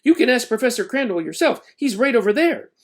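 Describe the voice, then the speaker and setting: A man's voice: very high voice, lively intonation, fairly quick, voice pushed up. Man voicing a bold, sarcastic schoolgirl. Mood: defiant. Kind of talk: children's storybook read-aloud.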